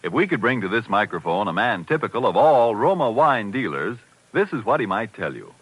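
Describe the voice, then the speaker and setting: A man's voice: on the low side, sing-song, brisk, fairly bright tone, breathy edge, rough recording. A man doing polished, emphatic announcing for a sponsor in 1940s radio style. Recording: vintage 1940s radio broadcast.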